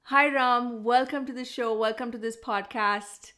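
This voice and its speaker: A woman's voice: on the high side, fairly even intonation, a little slow, sharp tone. A woman hosting a wellness podcast, introducing the show and interviewing a guest in conversation. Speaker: podcast host, Ayurvedic practitioner.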